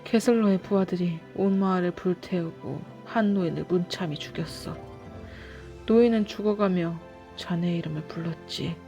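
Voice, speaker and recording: A woman's voice: fairly low pitch, normal speed, mellow, dull audio, faint music behind, close to an everyday voice. A woman voicing a brave village hero man in a storybook, speaking in a firm, solemn manner. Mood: grave.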